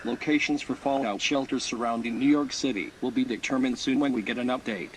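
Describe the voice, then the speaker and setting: A man acts as a solemn announcer for an emergency broadcast. He delivers scripted instructions formally, in a medium-pitched voice with clear noise in the recording. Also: fairly even intonation, brisk, natural voice. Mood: grave, official. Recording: noisy broadcast-style recording.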